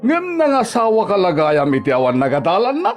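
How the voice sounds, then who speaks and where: An actor with a Filipino accent playing a domineering, scornful older father-in-law in an Ilocano radio drama, scolding and badgering. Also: high-pitched. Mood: menacing, angry.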